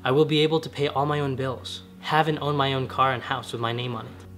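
A young man with a slightly raspy voice, talking earnestly to camera in a casual vlog from his home garage. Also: mid-range voice, little pitch movement, fast talker, fairly bright tone, soft background music. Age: young adult. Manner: earnest, gesturing with his hands while sitting on the floor. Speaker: vlogger. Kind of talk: casual spiritual vlog talk. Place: home garage, medium-sized, indoors.

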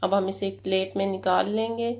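A woman narrating a cooking recipe step by step, in a steady, instructive way, in a home kitchen. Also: medium pitch, fairly quick. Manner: steady, instructive, repeats "ye dekhiye". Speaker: cooking channel host. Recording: loud kitchen sizzle behind.

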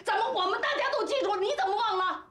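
A woman's voice: high voice, very animated, normal speed. An older woman acting in a TV drama as a bossy, fiercely protective family friend, scolding and giving emphatic orders. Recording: echoey room, faint background music.

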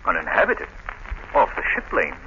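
An actor playing a polite, urbane, inquisitive gentleman investigator in a 1930s radio drama. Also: moderate pace, natural voice. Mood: curious, probing. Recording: noisy, dull vintage 1930s radio recording.

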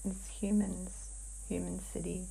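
A woman describing a trance vision in a dreamlike way as a guided hypnosis client, halting and hushed, with long pauses. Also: lowish voice, flat delivery, gravelly, slightly rough, low-quality recording.

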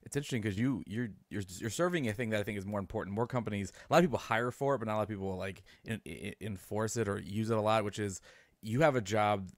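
A man's voice: lowish voice, fast talker, no room sound, studio quality. A man hosting a podcast, talking casually in conversation. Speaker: podcast host. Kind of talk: casual interview chat.

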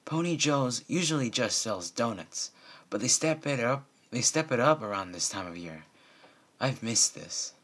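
A man's voice: mid-range voice, lively intonation, measured pace, fairly bright tone, pitched above the performer's own voice. A man voicing a cheerful, confident young female unicorn pony in a casual, upbeat way, reading a story.

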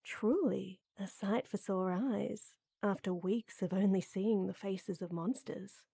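A woman playing a tall, strong lady knight who flirts and teases softly and coyly in a roleplay audio drama. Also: mid-range voice, slow pace, creaky, slightly rough, dry recording, studio quality, full character voice. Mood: amused, flirty. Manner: teasing, coy, soft and intimate with chuckles.

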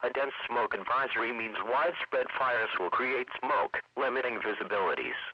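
A man's synthetic voice reading a scripted weather forecast in a measured, impersonal way. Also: mid-range voice, no room sound. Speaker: weather radio announcer. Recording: dull lo-fi weather radio broadcast.

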